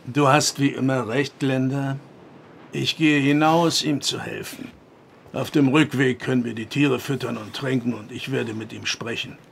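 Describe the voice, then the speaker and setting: An actor playing a stern, pious farmer father in a radio drama, gravelly and formal in speech. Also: mid-range voice, steady tone, measured pace, a bit bright, slight background noise. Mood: troubled, softer. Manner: formal, commanding.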